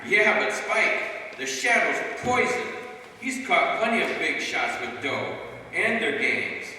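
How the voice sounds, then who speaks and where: A man's voice: high-pitched, very animated, takes it slowly, pushed and bright, full character voice. Man playing a jittery small-time crook, echoey hall. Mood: alarmed. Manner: slangy tough-guy talk, pleading and anxious. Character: nervous small-time male crook, scared sidekick to a gangster. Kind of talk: old-time radio crime drama acting. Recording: echoey hall from far off, faint noise.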